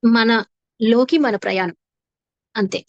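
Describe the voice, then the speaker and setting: A woman leading a guided meditation as a teacher, soothing and unhurried, with long pauses and repeated phrases. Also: a little high, steady tone, dry recording, muffled recording. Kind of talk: guided meditation, slow instructive narration.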